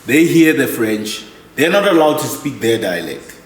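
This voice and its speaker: A man's voice: medium pitch, big pitch swings, normal speed, a little hiss or hum. A man hosting a casual talk, emphatic and often checking in with "right?". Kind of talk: casual conversation. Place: indoor room, at a table with food. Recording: echoey room.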